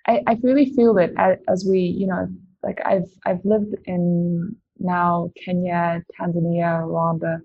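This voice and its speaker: A woman's voice: fairly low pitch, flat delivery, slow pace, lo-fi sound. A woman from the Netherlands, a company founder answering interview questions, thinking aloud with many "like"s and restarts. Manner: lots of "like" and restarts, thoughtful.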